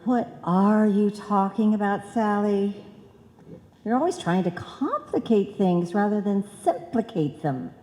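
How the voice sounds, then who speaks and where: Scatterbrained comedy wife, played by a woman: earnest, muddled, lively pitch, live hall echo. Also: measured pace, a little hiss or hum, lightly acted. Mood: dismissive. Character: scatterbrained, trusting, chatty wife and card seller. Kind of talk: old-time radio comedy acting.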